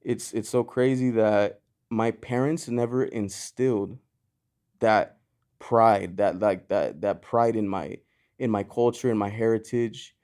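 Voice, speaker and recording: A man's voice: on the low side, fairly even intonation, slow pace, darkish tone, clean tone. A man born in Mexico, a podcast guest talking loosely in casual chat, with lots of fillers and restarts. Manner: loose and rambling, lots of "you know" and "like", frequent restarts. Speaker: guest, clothing brand founder.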